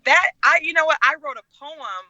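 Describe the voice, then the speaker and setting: Bright, forceful middle-aged American woman. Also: high-pitched, moderate pace, no room sound, highs cut off. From Oklahoma, United States. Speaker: talk show guest, media personality and relationship expert. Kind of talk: conversational interview, personal storytelling.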